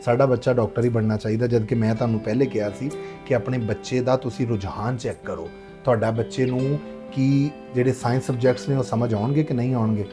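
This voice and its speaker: Man playing measured Punjabi schoolteacher over music. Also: mid-range voice, steady tone, natural voice. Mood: calm, reasoning. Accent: Pakistani Punjabi. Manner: polite, measured, explanatory. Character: patient, reasonable male schoolteacher explaining to parent. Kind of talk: acted moral-story drama.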